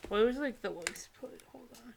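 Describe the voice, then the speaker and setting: A woman's voice: fairly high pitch, animated, moderate pace, creaky, background noise. A young woman co-hosting a casual comedic read-aloud, breaking off for giggly, incredulous asides. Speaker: co-host reading book excerpts aloud.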